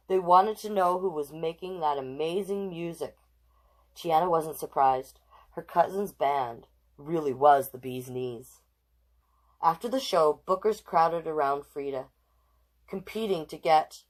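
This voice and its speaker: Low-pitched woman reading a storybook aloud. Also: rough recording. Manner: expressive, chatty, addresses live viewers between passages. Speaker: storytime host and drag king reader. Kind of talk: reading a children's picture book aloud with chatty asides.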